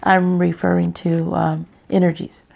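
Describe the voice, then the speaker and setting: A tired woman with a raspy voice, hosting a casual card reading in a halting, chatty, informal way. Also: on the low side, little pitch movement. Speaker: tarot reader, channel host. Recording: dull, muffled lo-fi sound.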